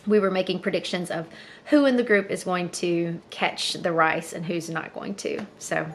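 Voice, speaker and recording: A woman in her thirties chatting casually to the camera for her daily vlog, her voice a bit low and congested, rambling with fillers. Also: steady tone, moderate pace, slight background noise. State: slightly husky, congested voice. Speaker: vlogger.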